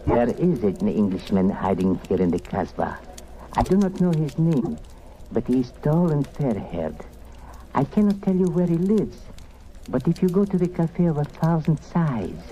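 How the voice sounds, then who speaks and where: Sly, swooping foreign scoundrel. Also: male voice, a little high, sing-song, measured pace, mellow. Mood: confiding. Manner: wheedling, theatrical, ends demands with "no?". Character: sly, greedy underworld cafe proprietor, a charming male scoundrel. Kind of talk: old-time radio drama acting. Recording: noisy old radio recording.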